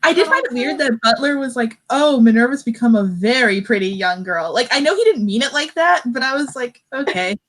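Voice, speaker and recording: A woman's voice: fairly high pitch, animated, a little fast, hardly any pauses, a bit bright. A woman giving her opinions conversationally in a casual book discussion.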